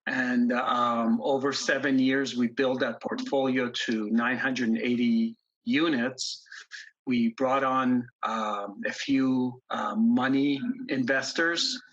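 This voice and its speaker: Man, 50s, from Iran, husky voice, halting interview guest, dull lo-fi audio. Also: mid-range voice, flat delivery, fairly bright tone. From grew up in Iran, born in the US. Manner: halting, many ums and restarts. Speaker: guest investor. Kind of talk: casual interview storytelling.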